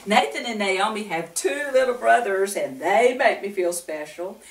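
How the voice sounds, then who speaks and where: An older woman hosting a children's Bible story time, speaking directly to young listeners with frequent questions and pauses between phrases. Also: medium pitch, lively intonation. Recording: roomy, echoing, distant microphone.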